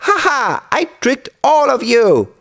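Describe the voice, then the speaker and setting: Very high-pitched naughty little boy. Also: wildly swooping pitch, takes it slowly, fairly bright tone, heavily acted. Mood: gleeful mocking. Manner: high-pitched, exclaiming, exaggerated childlike delivery. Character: naughty little boy shepherd, mischievous and whiny.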